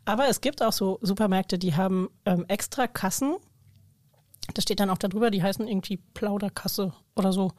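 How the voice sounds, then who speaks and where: A woman's voice: mid-range voice, a little fast, a little mellow, no room sound, studio quality. A woman chatting casually in a talkative, informal, colloquial way. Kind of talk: casual conversational chat.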